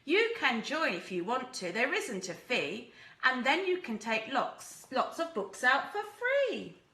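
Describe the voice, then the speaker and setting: A woman voicing an excitable, earnest young schoolboy in a children's storybook, exclaiming emphatically. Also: high-pitched, measured pace, roomy sound, heavily acted, rough recording. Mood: helpful, eager.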